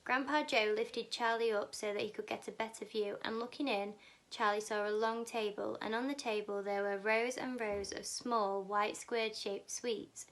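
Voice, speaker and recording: A woman reads a children's storybook aloud. Her voice sits somewhat high, and she narrates the scene as written. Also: a bit bright. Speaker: teaching assistant reading aloud.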